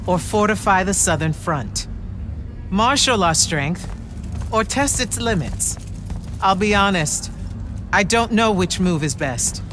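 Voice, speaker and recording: An actress playing a grave, commanding female leader of mages in a video game, speaking formally and deliberately. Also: mid-range voice. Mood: commanding, rallying. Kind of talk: cinematic video game acting. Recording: music clearly behind the voice.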